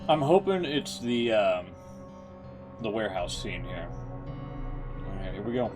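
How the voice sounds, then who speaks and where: A game streamer, a man with a lowish voice and lively swings in pitch, gives casual live commentary. He talks informally and reactively over clearly audible music. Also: slow pace. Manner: informal, reactive, some swearing. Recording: prominent music behind voice.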